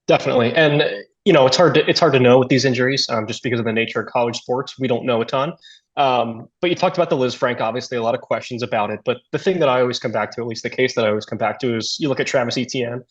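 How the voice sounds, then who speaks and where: Guy on sports talk radio, talks fast, breaking stuff down casually, bit lo-fi. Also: mid-range voice. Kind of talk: conversational sports radio analysis.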